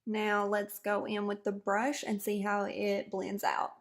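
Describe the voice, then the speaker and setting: Hoarse-voiced woman beauty vlogger, chatty, walks through each step, mid-pitched voice. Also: monotone, unhurried. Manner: chatty, explains each step as she goes. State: hoarse from a cold. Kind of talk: casual product review to camera.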